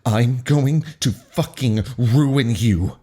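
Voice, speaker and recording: A man's voice: mid-range voice, slow, warm tone, close to an everyday voice. A man voicing a furious, spiteful teenage girl who seethes through gritted teeth in an audiobook-style reading. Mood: seething.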